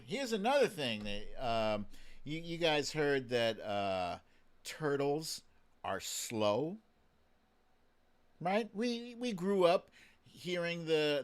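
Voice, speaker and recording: A man's voice: medium pitch, smooth. A man hosting a live stream in casual, playful commentary, chatting to viewers in slangy phrases that he often repeats.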